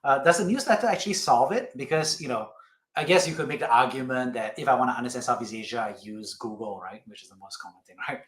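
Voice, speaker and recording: A male podcast host with a mid-pitched voice, recorded with a clearly roomy echo. He questions his guest in a conversational, probing way, often adding little check-in tags as he goes. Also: fast. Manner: probing, frequent "you know" and "right?" tags.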